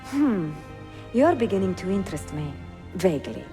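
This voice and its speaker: An actress playing a curious, poised Italian bookseller in a video game cutscene, with an Italian accent and a teasing, refined manner. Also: female voice, lowish voice, big pitch swings, moderate pace, music in the background. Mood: intrigued, teasing.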